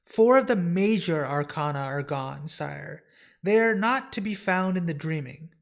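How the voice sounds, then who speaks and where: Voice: mid-range voice, expressive, unhurried, gravelly. A comic reader voicing a dutiful male librarian who serves a lord, reporting in a formal, respectful way. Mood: grave, reporting.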